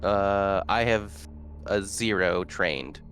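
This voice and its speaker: A man's voice: low-pitched, slow pace, a bit bright, soft background music. A man playing a tabletop roleplaying game, talking casually as he plans and asks questions.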